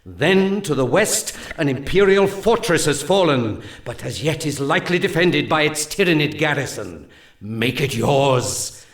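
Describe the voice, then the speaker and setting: Give the voice of a solemn alien elf commander, pitched on the high side for a male. He declaims in lofty, archaic phrases, rallying warriors with holy zeal in a strategy game briefing. The sound carries a roomy echo. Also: big pitch swings, a little slow. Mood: stern, rousing. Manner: grandiose, archaic, declamatory oratory. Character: solemn, zealous alien elf war leader rallying his troops. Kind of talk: scripted dramatic game mission briefing.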